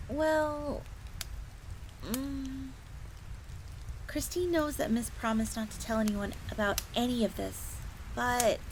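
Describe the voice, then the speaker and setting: A woman's voice: on the high side, close to an everyday voice. A woman voicing a young, earnest, secretive fox maid in a scripted fantasy audio roleplay, confiding in the listener. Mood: hesitant, earnest. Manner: speaks of herself in third person, confiding. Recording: crackling fire noise behind voice. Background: crackling fire.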